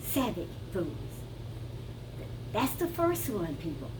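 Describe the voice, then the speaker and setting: A woman's voice: mid-range voice, sing-song, slow. A woman with a Brazilian accent, talking to viewers in a casual, emphatic teaching style, repeating words for stress. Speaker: wellness vlogger. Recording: noticeable background noise.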